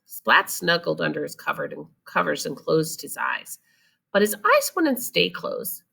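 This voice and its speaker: A woman's voice: low voice, big pitch swings, measured pace, vocal fry, sharp tone, slightly rough. A woman storyteller reading a children's picture book expressively and explaining it to young listeners. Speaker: read-aloud storyteller.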